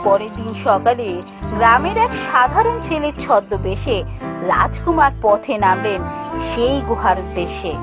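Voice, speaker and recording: A woman's voice: a little high, lively intonation, measured pace. A woman narrating a scripted fairy tale for a cartoon. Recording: music behind the voice. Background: music.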